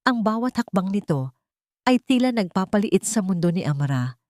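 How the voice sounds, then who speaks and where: A woman's voice: mid-range voice, sing-song, moderate pace, darkish tone, dry recording, clean studio sound. A woman reading an emotional story as a dramatic scripted narration.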